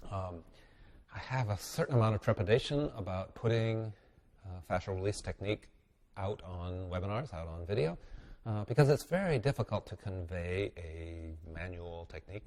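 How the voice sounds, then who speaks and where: A man with a very low voice and a head cold that makes him sound nasal and congested. He teaches bodywork in an easygoing webinar style, thinking aloud with plenty of ums and dry little jokes. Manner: relaxed, musing, with fillers and wry asides. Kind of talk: instructional webinar talk.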